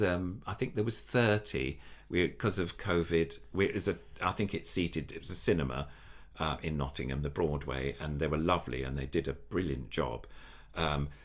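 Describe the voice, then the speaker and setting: Older male guest, very low nasal voice, rambling enthusiastic talker. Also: a bit bright. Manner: rambling, enthusiastic, many restarts. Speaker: puppeteer guest. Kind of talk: casual interview chat.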